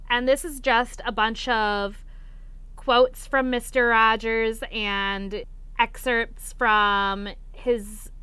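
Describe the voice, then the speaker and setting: A woman's voice: fairly high pitch, a bit bright, clean tone, dry recording. A young woman chatting casually about books as a video host, candid and opinionated in her reviews. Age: young adult. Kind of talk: casual book chat to camera.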